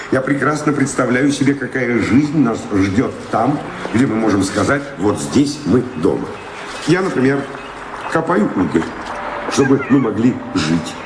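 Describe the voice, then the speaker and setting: An actor playing a restless, freedom-loving seafaring lover in a radio drama, with a gravelly voice and an impassioned, rhetorical, bitterly defiant delivery. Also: male voice, mid-range voice, background noise. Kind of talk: theatrical radio drama acting. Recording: echoey studio drama, loud music underneath. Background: loud music.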